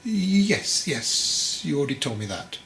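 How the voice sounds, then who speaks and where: A man voicing a venerable old monastery master in a storybook, calm, measured and gently probing. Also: fairly high pitch, reverberant, exaggerated voice. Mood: gently stern. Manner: measured, questioning, teasingly patient. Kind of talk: storybook character dialogue.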